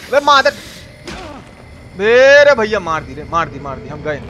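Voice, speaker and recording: A man giving excitable, repetitive, slangy live game commentary as a streamer. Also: fairly high pitch, measured pace, muffled recording. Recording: clear background noise.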